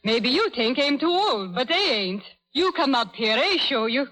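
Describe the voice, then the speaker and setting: A woman reads in the words of a friendly old immigrant woodsman in a radio play. The English is broken and halting, in a fairly high voice. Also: sing-song, sharp tone, full character voice. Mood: boastful, friendly. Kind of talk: 1930s radio drama acting.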